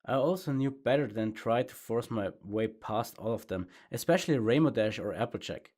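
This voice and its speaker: A man reading fan fiction aloud as a first-person narrator. His reading is relaxed and conversational, with some mild swearing. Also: medium pitch, normal speed. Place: home room with sound foam. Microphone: improvised home mic with pop screen.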